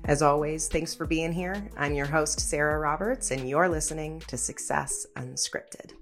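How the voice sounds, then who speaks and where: A woman with a low voice hosts an interview podcast in a chatty, lively way, laughing easily. Faint music plays softly behind her. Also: moderate pace. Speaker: podcast host. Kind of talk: casual interview chat.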